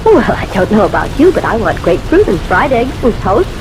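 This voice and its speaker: A woman's voice: fairly high pitch, sing-song, brisk, over music, low-quality recording. An actress playing a bossy, sly, smugly cruel woman who gives orders with condescension in an old-time radio drama. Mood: bossy, impatient. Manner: commanding, condescending.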